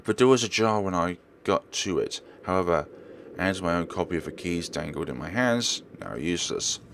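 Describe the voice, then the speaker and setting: A man with a low voice reads a horror visual novel aloud as a let's play host. His delivery is theatrical, with lively pitch movement. He now and then trips on a word and reads it again. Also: normal speed, vocal fry, slight background noise. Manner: theatrical, stumbles and rereads words. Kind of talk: dramatic read-aloud narration of a visual novel.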